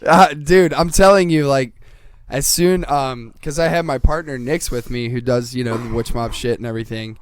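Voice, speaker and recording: A man's voice: mid-range voice, moderate pace. A young man chatting casually and emphatically as a podcast host, with lots of slang, swearing and "dude". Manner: emphatic, enthusiastic, peppers talk with "dude".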